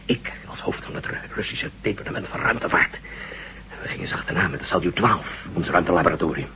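An actor playing a badly wounded Russian space official in a radio drama, speaking haltingly and short of breath as he pleads urgently. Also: sits low, expressive. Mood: grave, urgent. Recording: radio recording with faint noise.

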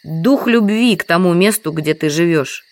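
A woman's voice: on the low side, moderate pace, natural voice. An actress playing a dutiful, stubborn village woman in an audio drama, plain and insistent in manner. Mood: earnest.

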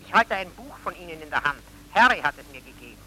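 An actor playing a secretive, urgent Austrian baron heard over a telephone in a German radio crime drama. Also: male voice, sits high, very animated, fast, sharp tone, heavily acted, low-quality recording. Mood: urgent, polite.

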